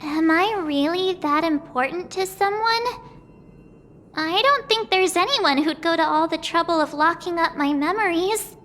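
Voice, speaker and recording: A woman voicing a bubbly, impulsive teenage adventurer girl in an anime-style game, chatty and expressive. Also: very high-pitched, measured pace, a little hiss or hum. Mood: puzzled.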